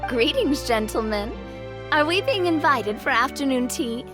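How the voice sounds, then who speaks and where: A woman's voice: sits high, animated, unhurried, music in the background, pitched above the performer's own voice. A woman voicing a cheerful upper-class young lady in a read-aloud game story, speaking in a breezy, playful way.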